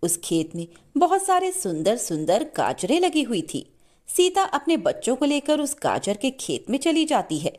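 Expressive woman narrating a children's story. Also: high-pitched, normal speed. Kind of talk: scripted Hindi moral story narration.

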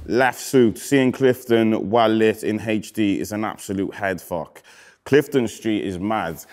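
A man in his thirties from Wales with a Cardiff accent, a rapper casually reading out comments in a relaxed, slangy way that often trails off quietly. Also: lowish voice, unhurried, music in the background. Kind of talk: casual chat. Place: indoor studio with graffiti wall.

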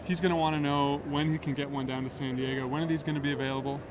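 A man's voice: on the high side, fairly even intonation, racing. A man in his thirties hosting a casual trade show interview into a handheld microphone. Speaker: interviewer, web video host. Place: large indoor convention hall booth. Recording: noisy busy trade show floor.

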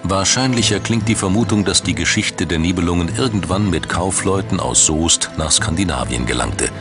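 Male documentary narrator, very deep register, scripted delivery over prominent music. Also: moderate pace.

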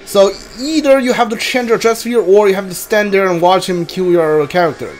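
A man with a fairly high voice gives casual live game commentary. He speaks haltingly and often repeats himself, with some noise behind him. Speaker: game streamer. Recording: steady background noise.

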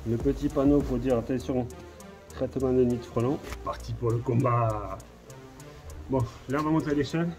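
A man's voice: mid-range voice, moderate pace, a little mellow, soft background music. A man, giving informal, repetitive commentary to viewers as he works outdoors with a handheld phone. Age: 30s. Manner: informal, addresses viewers directly, repeats himself. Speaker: hornet nest exterminator and video host. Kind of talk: casual vlog commentary while working. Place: outdoors, on rooftops and narrow village streets. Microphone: handheld phone at arm's length.

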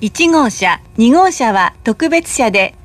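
A woman delivers a recorded train announcement in a high voice. She speaks slowly and politely, with careful, measured enunciation. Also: lively intonation. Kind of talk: recorded public announcement, scripted.

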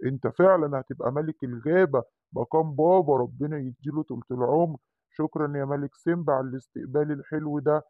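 A man's voice: a little high, fast, no echo, phone-quality sound, natural voice. A man voicing a kindly dog doctor who coaxes children into their shots, with an Egyptian accent. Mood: soothing. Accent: Egyptian Arabic. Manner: soothing, cajoling.

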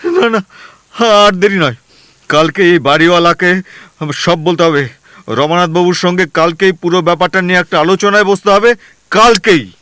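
An actor playing a nervous young husband in a radio play, who stammers and gets flustered easily. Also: male voice, sits high, moderate pace, bright, forceful tone, smooth, no echo. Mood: anxious. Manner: stammering, jittery.

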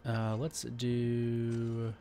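A man's voice: mid-range voice, monotone, very slow, slight background noise. A man hosting a casual let's play, chatting in a laid-back way with jokey asides. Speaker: gaming channel host. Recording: loud game music behind voice.

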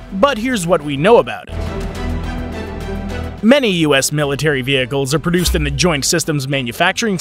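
A man giving scripted documentary narration in an even, informative way. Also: a little high. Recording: voice-over with faint background music.

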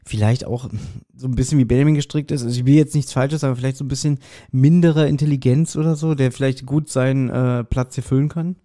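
A man with a relaxed, mellow voice of medium pitch hosts a podcast. He chats casually and jokes along, delivering his teasing with a mock-serious air. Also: fast talker, few pauses. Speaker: podcast host. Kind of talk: casual joking podcast banter.

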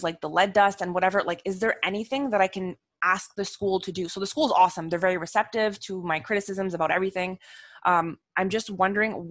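A young woman with a lower-pitched voice and an Italian-tinged Montreal accent talks over a video call through a headset mic. Her words come out quick and earnest, full of "like"s and "um"s. The sound is close and dry, with no room echo. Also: a bit bright. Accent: Italian-tinged Montreal English. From Montreal, Canada. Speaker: guest, parent. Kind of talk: casual video call chat. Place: home room, seated in a gaming chair.

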